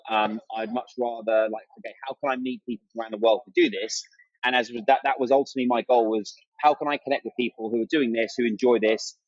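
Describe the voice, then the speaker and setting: A man talks at a quick clip in a casual, enthusiastic podcast style. His pitch is average for a man. The sound is dry and close, with a slightly muffled, band-limited quality. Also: dry recording. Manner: chatty and enthusiastic, acts out remembered exchanges. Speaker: interview guest, amateur runner and content creator.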